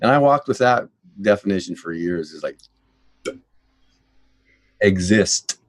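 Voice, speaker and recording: A man in casual conversation, telling a story with jokes and drawn-out exclamations. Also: low voice, lively intonation, no echo.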